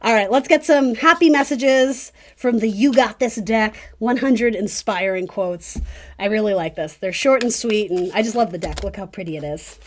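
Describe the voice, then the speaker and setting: A woman's voice: mid-range voice, moderate pace. A woman hosting a casual tarot reading, talking directly to the listener with encouraging, conversational advice. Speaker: tarot reader and video host.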